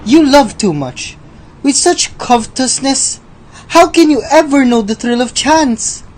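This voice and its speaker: Haughty, teasing Death, acted by a woman, high-voiced. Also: animated, unhurried, darkish tone. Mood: haughty, mocking. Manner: theatrical, condescending. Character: Death, teasing haughty gambler, sly and scornful. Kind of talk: radio drama acting.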